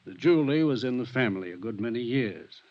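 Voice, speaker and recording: A man's voice: medium pitch, expressive, fast, lightly acted. A man acting in a 1950s radio drama as a wealthy, genteel, evasive homeowner, speaking in a polite, formal, slightly haughty way. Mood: regretful, formal. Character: genteel, wealthy, evasive male mansion owner. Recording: muffled 1950s radio recording.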